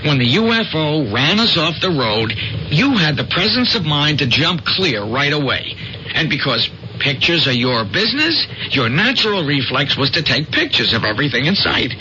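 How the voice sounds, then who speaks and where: An American actor playing an eager, stubborn small-town newspaperman in an old radio drama, with an excitable, stammering delivery. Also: fairly high pitch, moderate pace, exaggerated voice. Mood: urgent, scheming. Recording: muffled, noisy old radio recording.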